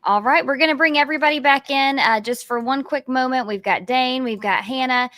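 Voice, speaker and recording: Higher-voiced woman news presenter, dry close sound. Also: brisk, bright, forceful tone, no echo. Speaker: news presenter anchoring live segment.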